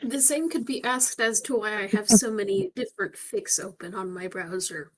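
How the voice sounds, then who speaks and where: A woman's voice: medium pitch, normal speed, rough recording. A young woman co-hosting a podcast, playful and giggly in casual banter. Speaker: podcast co-host.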